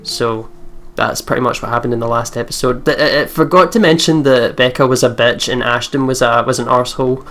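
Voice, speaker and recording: A woman's voice: a bass voice, fairly even intonation, fairly quick, hardly any pauses. A young woman reading game text aloud with casual let's play commentary. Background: soft game music.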